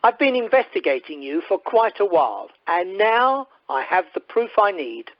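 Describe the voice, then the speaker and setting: A man playing a calm, stern undercover investigator in an amateur radio play, formal and measured. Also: high-pitched, dry recording. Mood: smug, stern. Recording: dull telephone-conference recording.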